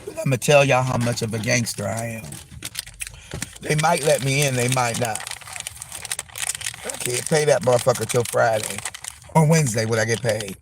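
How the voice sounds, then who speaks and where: Male vlogger, hoarse from a chest cold, gravelly, rambling and profane, faint background hiss. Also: mid-range voice, normal speed, pauses often. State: hoarse, losing his voice. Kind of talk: casual vlog monologue. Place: parked car.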